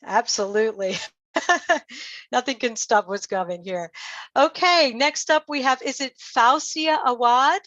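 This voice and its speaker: Female host, fairly high voice, informal live delivery, dry but dull lo-fi call audio. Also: measured pace, a bit bright, dry recording. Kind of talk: informal live show hosting.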